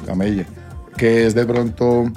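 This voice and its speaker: A man's voice: mid-range voice, fairly even intonation, a little slow, dark tone, faint music behind. A Colombian man in his twenties, a singer talking casually in an interview, rambling with slang and restarts. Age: 20s.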